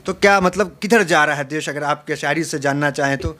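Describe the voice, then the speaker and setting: A man's voice: fairly high pitch, fairly quick, pushed and bright. A man working as a news interviewer, putting loosely phrased, informal questions to his guest.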